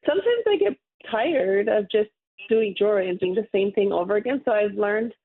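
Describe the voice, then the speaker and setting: A woman's voice: medium pitch, animated, brisk. A woman in her thirties from Hawaii, a guest who talks in a friendly, rambling way with a light laugh. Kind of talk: casual interview. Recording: tinny phone line.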